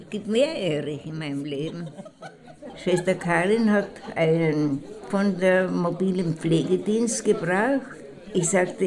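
A woman playing a chatty figure who recalls everyday encounters in a colloquial, halting way for an audio drama. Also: fairly low pitch. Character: chatty woman recalling home-care visits and acquaintances. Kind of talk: casual anecdote telling. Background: accordion music.

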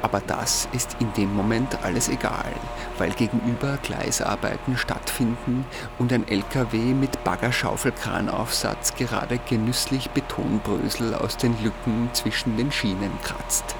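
Austrian man narrating, medium-pitched voice. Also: moderate pace, vocal fry, hardly any pauses, noisy background. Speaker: radio show host. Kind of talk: scripted radio narration.

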